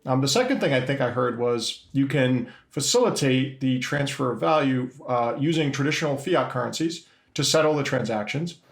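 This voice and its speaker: A man's voice: mid-range voice, lively intonation, moderate pace, gravelly, few pauses, roomy sound. A middle-aged man hosting a business interview, hesitant and full of restarts as he sums up his guest's points. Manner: hesitant, restarts often, summarizing.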